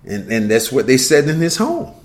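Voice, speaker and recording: A man's voice: mid-range voice, normal speed. Older man telling childhood stories. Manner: storytelling, animated when quoting others. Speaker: podcast co-host. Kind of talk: casual conversation.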